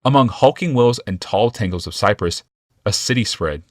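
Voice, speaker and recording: Deep-voiced male audiobook narrator. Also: expressive, normal speed, dry recording, clean studio sound. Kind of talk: scripted fantasy audiobook narration.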